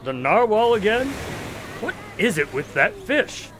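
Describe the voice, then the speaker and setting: Radio drama sidekick doctor, played by a man: wry, skeptical, lively intonation, fairly high voice, crowd around. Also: a little slow, slight background noise, full character voice. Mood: puzzled. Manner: wry, questioning, mildly exasperated. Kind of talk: audio drama dialogue.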